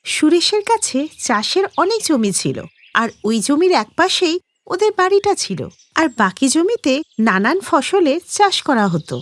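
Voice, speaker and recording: A woman reads scripted cartoon narration. Her voice is high with lively, wide intonation, and the recording is dry. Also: dry recording.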